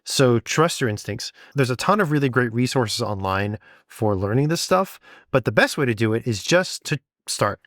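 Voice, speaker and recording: A man's voice: mid-range voice, animated, normal speed, fairly bright tone, no echo, clean studio sound. A man co-hosting a podcast, talking casually and encouragingly, with rambling, self-deprecating asides. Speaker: podcast co-host and sound designer. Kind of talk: casual conversational podcast talk.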